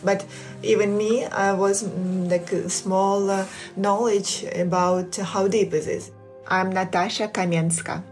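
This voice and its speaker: A woman from western Ukraine with a Ukrainian accent, a design brand co-founder speaking in an interview in an animated, enthusiastic way. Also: mid-range voice, unhurried, faint music behind.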